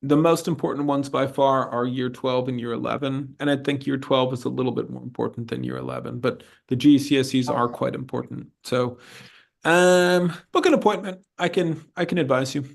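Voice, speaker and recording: A man's voice: mid-range voice, normal speed, gravelly. A man working as an admissions consultant, informally answering student questions in a frank, encouraging way and often repeating himself. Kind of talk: informal advisory Q&A.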